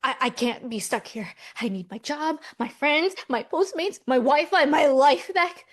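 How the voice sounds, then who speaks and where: A woman's voice: high voice, animated, unhurried. A woman playing an anxious, practical, easily exasperated millennial twin in a romcom table read, earnest and insistent. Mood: desperate.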